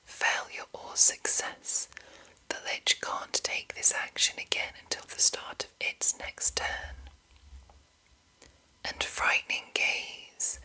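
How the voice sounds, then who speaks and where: Slow whispering woman, bright and breathy. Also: low-quality recording. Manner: slow, deliberate, pausing between short phrases. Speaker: ASMR host reading game monster entries. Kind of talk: whispered ASMR reading.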